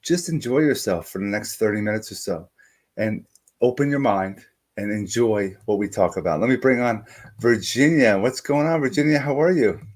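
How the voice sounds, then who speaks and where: Enthusiastic low-voiced male podcast host. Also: normal speed. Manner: energetic, enthusiastic, addresses viewers directly. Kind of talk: upbeat show introduction and interviewing.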